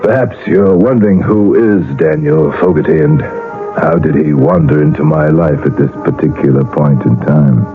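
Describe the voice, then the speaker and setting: An actor playing a weary, desperate painter in a 1970s radio drama, speaking in halting, broken phrases. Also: male voice, a bass voice, music in the background. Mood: weak, reflective. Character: weary, desperate male painter, middle-aged or older, brooding. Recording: muffled, lo-fi old radio broadcast.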